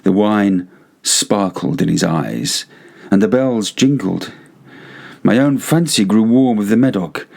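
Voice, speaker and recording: A man narrating gothic fiction as an audiobook, in a measured, theatrical manner. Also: lowish voice, vocal fry, a little mellow.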